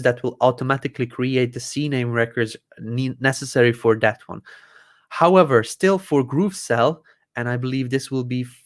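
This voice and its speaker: A man from Croatia hosting a live Q&A, walking viewers through software settings step by step with many fillers and restarts. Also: mid-range voice. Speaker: host answering user questions. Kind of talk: live Q&A tech support walkthrough.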